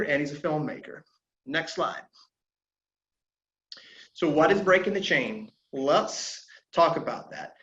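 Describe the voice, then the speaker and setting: A man from South Carolina leads an online flight safety lesson. His voice sits fairly high, and he talks in an earnest, halting way with many fillers. The audio is dull and lo-fi, with a roomy echo. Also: a bit bright. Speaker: flight instructor presenting a safety webinar. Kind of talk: webinar presentation. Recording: dull lo-fi audio in an echoey room.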